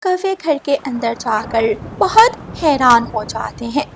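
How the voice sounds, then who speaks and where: A woman's voice: high voice, lively intonation, slow, vocal fry, a little hiss or hum. A woman storyteller reading scripted narration for a children's story.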